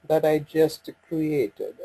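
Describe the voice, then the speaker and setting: A man with a slightly husky voice giving an unscripted software walkthrough, hesitant and thinking aloud as he goes. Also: on the high side, very slow, low-quality recording. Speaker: software demonstrator. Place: voice-over on a screen recording.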